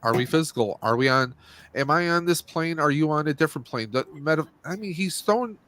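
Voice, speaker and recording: A man's voice: on the high side, expressive, fairly quick, fairly bright tone. A man hosting a casual movie review podcast, speaking in a blunt, opinionated and rambling way. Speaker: podcast host.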